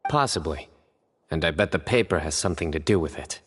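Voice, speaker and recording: Deep, serious young investigator. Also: male voice, big-room sound, over music. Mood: analytical. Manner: measured, formal, deliberate, deadpan. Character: serious, composed young male investigator, former student of scholar. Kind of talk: video game voice acting.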